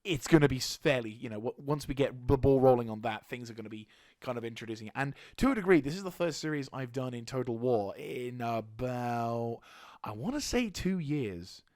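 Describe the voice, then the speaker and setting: A man with a slightly hoarse throat giving a casual channel update as a gaming YouTuber, rambling and halting with frequent restarts. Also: medium pitch, lively intonation, darkish tone, no room sound, clean studio sound.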